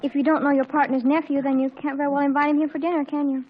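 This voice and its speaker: A high-pitched teenage girl played by a woman rattles along at a quick clip in an old radio comedy. She is eager, dramatic and self-important, gushing or exasperated. The recording is muffled and vintage. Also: flat delivery, close to an everyday voice. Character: eager, dramatic, boy-crazy teenage daughter. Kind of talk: 1940s radio comedy acting.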